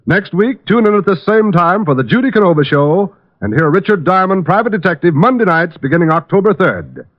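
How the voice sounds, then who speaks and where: A man's voice: high-pitched, sing-song, a little fast, few pauses. A man announcing in the formal style of 1940s network radio. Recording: muffled old radio recording, music behind.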